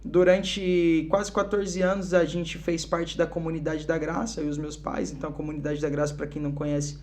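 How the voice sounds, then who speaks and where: A young man with a São Paulo accent talks as a podcast guest. He tells his story in a loose, friendly way, full of casual fillers. His voice sits on the high side for a man. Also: normal speed. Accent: São Paulo Portuguese. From Curitiba, Brazil. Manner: relaxed, chatty, fillers like "cara" and "né". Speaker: podcast guest, worship musician. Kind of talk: casual testimony interview.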